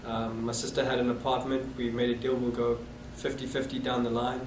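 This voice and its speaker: A man's voice: mid-range voice, level tone. Reflective South African man, roomy echo. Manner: reflective, halting with restarts. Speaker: interviewee. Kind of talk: oral history interview. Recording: echoey room, distant mic, noisy.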